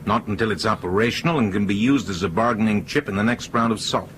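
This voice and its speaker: An actor in a radio drama playing a cool, persuasive ringleader who speaks in an assertive, matter-of-fact way. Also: male voice, lowish voice, fast, fairly bright tone, a little hiss or hum, close to an everyday voice. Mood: determined. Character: cool, persuasive male ringleader plotting a takeover.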